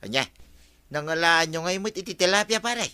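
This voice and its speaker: An actor playing a cheeky, teasing villager in a radio comedy drama. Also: male voice, sits high, fairly quick, sharp tone, clean tone, no room sound. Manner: teasing, laughing.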